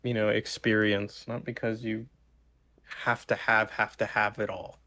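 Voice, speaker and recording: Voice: medium pitch, little pitch movement, unhurried, pushed and bright. A young adult podcast co-host talking casually with friends. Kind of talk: casual group chat.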